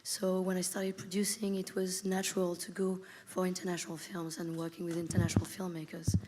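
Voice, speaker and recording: Thoughtful woman producer, lowish voice. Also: level tone, fairly quick, heavy background noise. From Spain. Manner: thoughtful, hesitant, many fillers. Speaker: film producer. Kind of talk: panel discussion answer.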